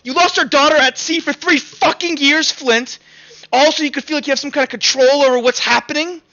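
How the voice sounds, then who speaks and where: Man voicing an embittered wife, high and forceful. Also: fairly quick, full character voice. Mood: furious, anguished. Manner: forceful, anguished, accusing. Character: embittered, furious wife and grieving mother. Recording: dull lo-fi audio under music.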